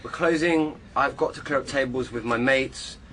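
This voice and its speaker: An actor playing a sullen, defensive teenage waiter in a radio drama, blunt and guarded. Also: male voice, mid-range voice, moderate pace, background noise, natural voice.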